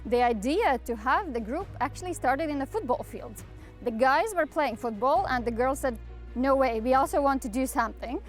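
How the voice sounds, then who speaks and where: A woman's voice: sits high, lively intonation, moderate pace, faint music behind. A woman from Latvia, a dance group member, explaining its story in an interview with a few fillers.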